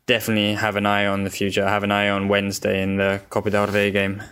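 A man with a fairly low voice gives casual football analysis as a podcast guest. He is eager and keen, doubling up words as he talks, with faint music behind him. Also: completely flat delivery, quick pace, a bit bright, clear, resonant tone. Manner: enthusiastic, repeats words for emphasis.

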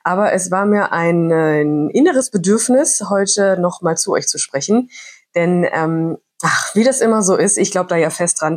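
A woman's voice: fairly low pitch, a little mellow. A woman with a cold hosts a podcast in a chatty, reflective monologue with frequent hesitations. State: has a cold. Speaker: podcast host.

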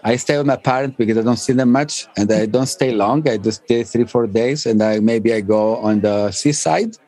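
A man, giving casual interview answers as a DJ in halting, English. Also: mid-range voice, dark tone. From Albania and northern Greece. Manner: halting non-native English phrasing. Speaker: interview guest, DJ and music producer.

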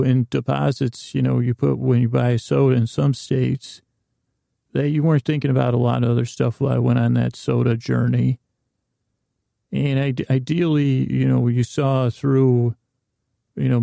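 A man hosting a bedtime podcast with a rambling, halting, goofy improvised monologue that trails off mid-sentence. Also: medium pitch, gravelly, dark tone, dry recording, clean studio sound. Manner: goofy, whimsical. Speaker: podcast host.

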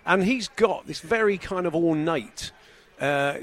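Chatty man, slightly high voice. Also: unhurried. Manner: dry, joking, chatty. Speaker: podcast co-host. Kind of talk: casual chat. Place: indoors, travelling abroad.

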